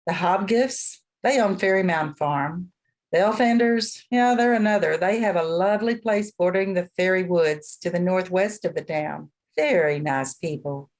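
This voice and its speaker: Actress as elderly country woman, lowish pitch, folksy storyteller, matter-of-fact, radio drama. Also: moderate pace, close to an everyday voice. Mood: matter-of-fact, gossipy. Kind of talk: radio play acting.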